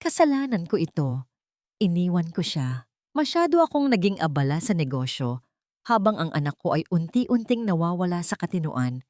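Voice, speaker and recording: A woman's voice: on the low side, sing-song, normal speed, darkish tone, no room sound, clean studio sound. A woman voicing a guilt-ridden, protective rich father and guarded husband in an audio drama, earnest and restrained. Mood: remorseful.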